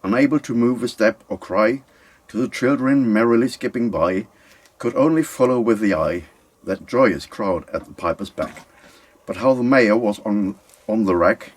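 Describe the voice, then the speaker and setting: A man reading narrative verse aloud as an amateur, halting and restarting words as he goes. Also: lowish voice, husky. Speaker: amateur volunteer reader.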